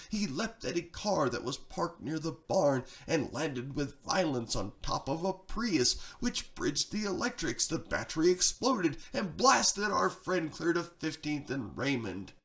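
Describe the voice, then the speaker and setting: A man's voice: mid-range voice, gravelly, fairly bright tone. A man reading a darkly comic rhyming tale in a theatrical, rhythmic storytelling style. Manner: dramatic, sing-song rhythmic delivery. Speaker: storyteller, reader.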